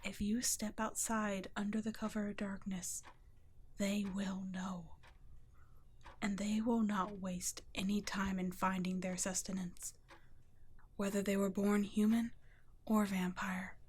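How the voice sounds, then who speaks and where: Woman voicing a whispering, slow, tender vampire guardian. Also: medium pitch, little pitch movement, a little hiss or hum, natural voice. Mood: somber warning. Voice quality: soft, breathy half-whisper. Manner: slow, hushed, halting, tender. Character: gentle, guilt-ridden female vampire, protective guardian. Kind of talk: ASMR roleplay, scripted intimate monologue.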